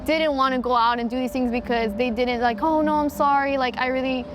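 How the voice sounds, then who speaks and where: High-voiced Spanglish-speaking young woman. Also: brisk, noisy background. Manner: switches between English and Spanish mid-sentence, animated. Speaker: mariachi singer and organizer. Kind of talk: casual interview conversation.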